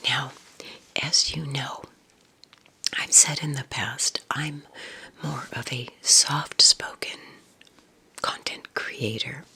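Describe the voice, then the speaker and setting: A woman whispering casual ASMR recommendations in a breathy, husky voice, with an unhurried, affectionate manner. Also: rumbling low, steady tone, sharp tone. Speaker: ASMR content creator.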